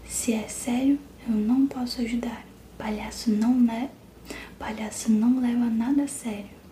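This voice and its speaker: A woman's voice: fairly high pitch, steady tone, normal speed, mellow, slight background noise, natural voice. A woman whispering as a wry ghost clown. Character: ghostly clown's talking nose, playful and wry. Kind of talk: whispered storybook reading.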